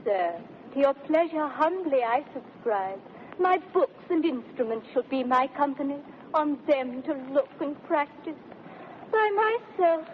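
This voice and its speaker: An actress playing a sweet, timid, demure young sister in 1930s radio Shakespeare, with a meek manner. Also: female voice, high voice, lively intonation, a little slow, crowd noise. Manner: meek, submissive. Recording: tinny, noisy 1930s radio broadcast.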